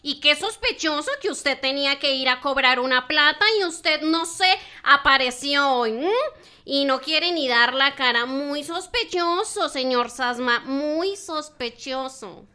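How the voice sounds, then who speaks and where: A woman's voice: high voice, unhurried, pushed and bright. A woman playing a stern, pompous, suspicious boss in an amateur radio play. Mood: accusing.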